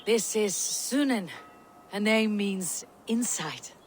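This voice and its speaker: A woman's voice: mid-range voice, takes it slowly, long reverb tail, a little hiss or hum, close to an everyday voice. Woman acting a terse Norse warrior. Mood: careful, polite. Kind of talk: video game cutscene acting.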